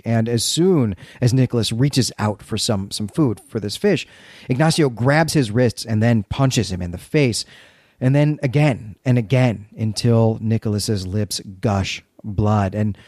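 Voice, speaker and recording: A man's voice: lowish voice, darkish tone, no echo, clean studio sound. A man co-hosting a podcast, recapping and discussing a story in a conversational way with wry, dryly humorous asides. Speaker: podcast co-host.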